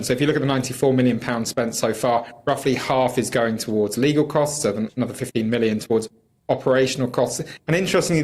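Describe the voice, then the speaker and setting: A British man speaking as a campaigner in a political interview, arguing fluently, critically and with emphasis. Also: medium pitch. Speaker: campaigner, studio guest.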